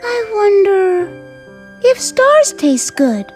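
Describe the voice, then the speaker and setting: Childlike otherworldly girl: naive, wondering, swooping pitch, very slow game voice over loud music. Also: very animated, full character voice. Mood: innocent wondering. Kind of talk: video game voice acting.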